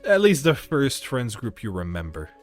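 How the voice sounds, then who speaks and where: A young man in casual, animated, slangy chat, swearing freely as he tells stories. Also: mid-range voice, moderate pace, faint music behind. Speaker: podcast host.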